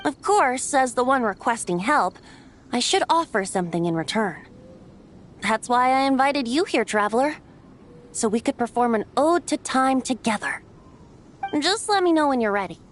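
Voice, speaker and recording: A woman voicing a boyish wind god bard in a video game, speaking in a lyrical, theatrical, gently teasing storyteller's manner, playful yet wise. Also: a little high, animated, moderate pace, natural voice. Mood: earnest, measured. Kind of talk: video game character dialogue.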